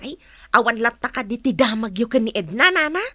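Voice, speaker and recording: A gossipy village daughter in an Ilocano radio drama. Her voice is forceful and bright, with a lively, swooping melody and a Filipino Ilocano accent. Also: a little high, fairly quick, dry recording. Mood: curious, gossipy.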